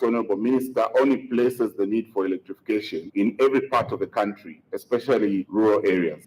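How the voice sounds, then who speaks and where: A man with a Zambian accent delivers a formal prepared address as a chief executive. His voice is fairly low, and the wording is official and measured. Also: darkish tone, rough recording.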